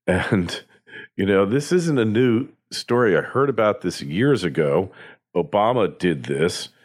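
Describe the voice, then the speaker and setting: A man's voice: on the low side, animated, measured pace. An American man delivering opinion commentary as a podcast host, in an emphatic, opinionated way. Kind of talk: opinion commentary, partly scripted monologue.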